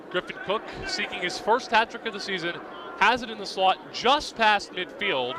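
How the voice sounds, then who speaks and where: A young man doing live radio play-by-play commentary in clipped, vivid present-tense calls. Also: high-pitched. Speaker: play-by-play announcer. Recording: outdoor broadcast with clear background noise.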